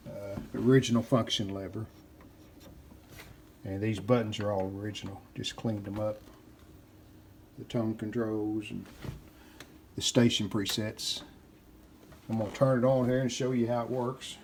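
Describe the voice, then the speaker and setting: A man's voice: lowish voice, little pitch movement, a little slow, long pauses, a little mellow, slight background noise. A man talking casually through a hands-on restoration in a plain, matter-of-fact way, in short clipped sentences. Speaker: host of a vintage radio repair video. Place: indoors at home.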